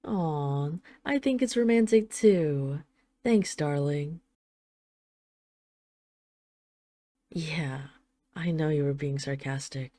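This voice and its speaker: A woman's voice: sits low, slow, mellow, no room sound, studio quality. A woman playing a haughty, teasing dragon queen in an intimate audio roleplay, with an imperious, sarcastic, mock-sweet manner. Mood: wry, teasing. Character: haughty, teasing dragon queen, possessive of her human pet husband. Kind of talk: intimate scripted audio roleplay addressed to the listener.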